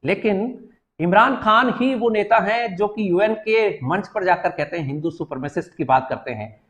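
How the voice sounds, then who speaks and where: A man's voice: sits high, moderate pace, fairly bright tone. A man with an Indian accent hosting and moderating a political discussion show. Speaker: host.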